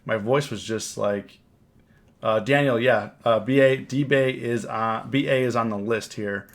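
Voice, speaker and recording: A man with a cold, hoarse and rambling, recapping his trades on a casual live stream and often repeating himself. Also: mid-range voice, unhurried. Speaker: options trading live streamer. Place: home, on camera.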